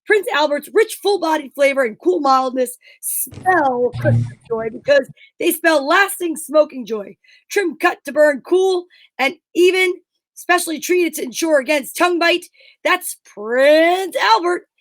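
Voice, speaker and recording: Lady with a high voice reading old radio ads n chatting on a stream, really dry sound. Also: lively intonation, unhurried, no echo. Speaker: co-host reading old radio show scripts. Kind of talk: casual chat and scripted radio ad reading.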